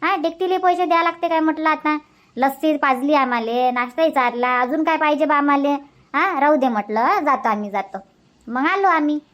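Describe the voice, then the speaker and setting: A woman's voice: high-pitched, fairly quick, fairly bright tone. A woman voicing chatty, sociable village women in a cartoon comedy, speaking colloquial Vidarbha Marathi with repeated phrases. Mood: animated gossip. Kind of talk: cartoon comedy dubbing in rural dialect.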